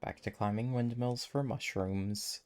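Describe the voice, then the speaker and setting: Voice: medium pitch, fairly even intonation, unhurried, darkish tone. A game streamer giving casual gameplay commentary, musing aloud to themself while playing. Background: game music.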